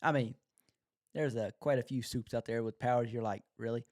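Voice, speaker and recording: A man's voice: mid-range voice, fairly even intonation, fairly quick, darkish tone, no room sound, studio quality. A man co-hosting a podcast, talking casually as he reacts to a TV show. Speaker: podcast co-host.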